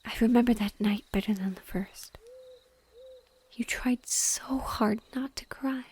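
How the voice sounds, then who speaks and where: A mournful banshee, played by a woman, speaks in a soft, breathy whisper on the high side. Her delivery is very slow and tender, full of sorrowful devotion. It is an intimate audio roleplay performance. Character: sorrowful female banshee, gentle and lovingly devoted.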